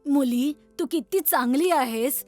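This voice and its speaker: Parched, high-voiced old woman, acted by a younger-sounding performer. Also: unhurried, a little mellow, faint music behind. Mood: pleading, grateful. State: coughing, dry-throated. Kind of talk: children's cartoon story dialogue.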